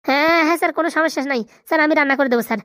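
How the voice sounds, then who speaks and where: A woman plays an obliging, loyal village helper in a comic cartoon. The high voice races along, eager to please, in dialect, recorded dry. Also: rapid-fire, a bit bright, no room sound. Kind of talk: comic Bengali cartoon dialogue.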